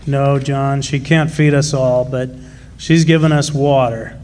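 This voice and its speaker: Fair-minded working father, played by a man, reassuring and plain-spoken, medium pitch, radio drama. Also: fairly even intonation, unhurried, a little mellow, lightly acted. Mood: firm, reassuring. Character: steady, fair-minded father, a deported 1917 miner.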